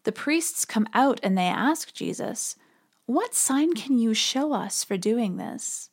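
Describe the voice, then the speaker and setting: A woman's voice: a little high, expressive, slow pace. A woman podcast host giving conversational religious commentary in an informal, explanatory way.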